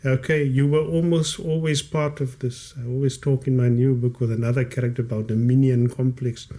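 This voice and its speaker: A man's voice: medium pitch, little pitch movement, a little fast, mellow. A South African man in his late fifties, a guest author whose first language is Kaaps, speaking reflectively in a podcast studio and stretching out key words for emphasis. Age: 50s. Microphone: studio condenser mic with headphones.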